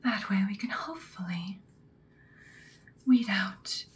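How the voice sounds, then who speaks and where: Slow whispering ancient vampire woman, acted for ASMR. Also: mid-range voice, lightly acted. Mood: grave, alluring. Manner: slow, deliberate, breathy whispering. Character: ancient female vampire slayer, seductive, stern, menacing. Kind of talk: ASMR personal-attention roleplay, whispered.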